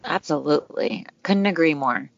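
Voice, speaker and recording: A woman's voice: sits low, normal speed, muffled recording. A woman guest on a podcast, speaking upbeat and direct in casual conversation. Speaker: podcast guest, dating expert. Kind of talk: casual interview chat.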